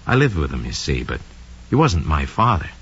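An actor playing a smug, scheming young murderer who confesses coldly and boastfully in an old radio drama monologue. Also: male voice, a bass voice, very animated, normal speed, fairly bright tone, breathy edge, exaggerated voice. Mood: cold, sly. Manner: sly, cold, boastful, slangy. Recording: dull lo-fi old radio recording.

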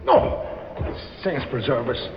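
A loyal detective's sidekick from 1940s radio, superstitious yet tough with crooks. His lowish voice swings about in a muffled, echoing old recording. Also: lively intonation, slow, music in the background. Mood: eager, uneasy. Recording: muffled, reverberant old radio, faint noise.

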